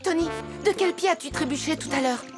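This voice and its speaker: A woman's voice: sits high, brisk, close to an everyday voice. A woman voicing a superstitious, dramatic young female animal in a children's cartoon, speaking emphatically. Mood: earnest warning. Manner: emphatic, dramatic, given to gloomy warnings. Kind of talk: children's cartoon dub acting. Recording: music under the voice.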